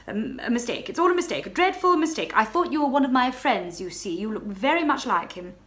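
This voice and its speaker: A woman reads as a young baroness in a storybook tale, confiding a scandal in a breathless, stammering rush. Her voice swoops high and drops low as she tells it. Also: expressive, normal speed, fairly bright tone. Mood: panicked. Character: flustered young married baroness, female.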